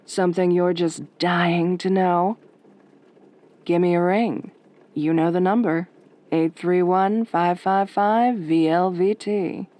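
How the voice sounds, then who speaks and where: Casting: woman to play sultry night-radio host; gentle, somewhat low, dry teasing wit. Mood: smooth, sardonic. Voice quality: soft, velvety. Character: velvety, wry, flirtatious female late-night radio DJ.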